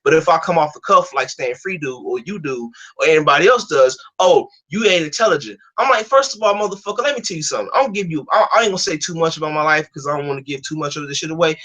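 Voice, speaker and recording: A man's voice: a little high, animated, fast, few pauses, sharp tone. A man, ranting profanely on a live stream and stuttering often. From Atlanta, USA. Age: 20s. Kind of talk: casual chat, ranting.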